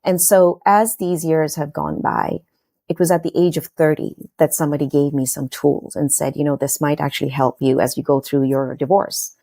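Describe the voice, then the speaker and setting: A woman's voice: low voice, steady tone, normal speed, mellow, dry recording. A woman in her forties from Kenya, a podcast guest telling her personal story in a reflective, earnest way with frequent fillers and restarts.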